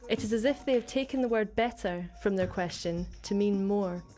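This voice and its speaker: A woman's voice: mid-range voice, normal speed, dark tone. A woman reading scripted documentary narration. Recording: music under the voice. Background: background music.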